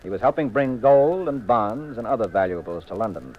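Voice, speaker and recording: An actor playing a cool, wry detective with a dry, commanding manner in a 1950s radio mystery. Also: male voice, on the low side, expressive, fairly quick, highs cut off, close to an everyday voice. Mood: accusing, triumphant. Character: cool, wry, assured male detective, married sleuth. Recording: noisy 1950s radio recording.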